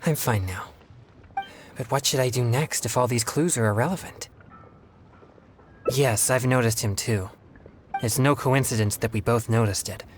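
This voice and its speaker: An actor playing an earnest, polite, easily flustered teenage exorcist boy in a video game, speaking in a formal, measured way. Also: mid-range voice, a little hiss or hum, close to an everyday voice. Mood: calmer. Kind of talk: video game character dialogue. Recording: music behind the voice. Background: game music.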